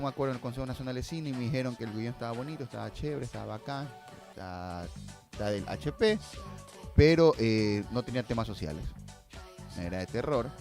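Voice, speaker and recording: A man's voice: medium pitch, darkish tone. A man with an Ecuadorian accent answering as a filmmaker guest in a conversational, informal, slangy interview style.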